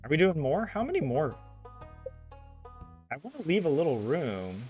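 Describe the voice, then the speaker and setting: A man's voice: on the high side, wildly swooping pitch, moderate pace, a little mellow, faint music behind. A young man with a general American accent chatting casually with his viewers while he plays a game, rambling and correcting himself as he goes. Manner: rambling, chatty, self-correcting, laughs often. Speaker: gaming streamer.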